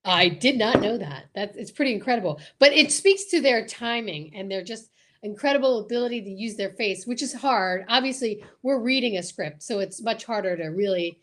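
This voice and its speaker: Female livestream host, medium pitch, gushing and informal, unscripted chat. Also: lively intonation, normal speed, fairly bright tone. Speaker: show host.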